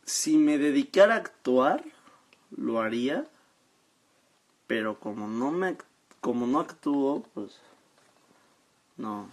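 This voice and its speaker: Teenage man, Mexican accent, slow delivery, halting casual chat, slangy, live stream on a handheld phone. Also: mid-range voice, lively intonation. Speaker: social media live streamer. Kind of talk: casual chat, reading out and answering viewer comments. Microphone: phone at arm's length.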